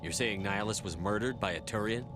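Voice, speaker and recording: A man's voice: lowish voice, fairly quick, over music, close to an everyday voice. A man playing a stern, commanding space soldier in a video game, terse and businesslike as he questions people. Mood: probing. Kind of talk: cinematic sci-fi game dialogue. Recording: faint background noise.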